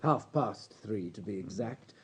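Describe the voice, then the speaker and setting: An actor playing a reserved, reluctant hotel night clerk in a radio drama, formal and hesitant. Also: male voice, fairly low pitch, animated, measured pace, slightly rough, full character voice. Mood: guarded, reluctant.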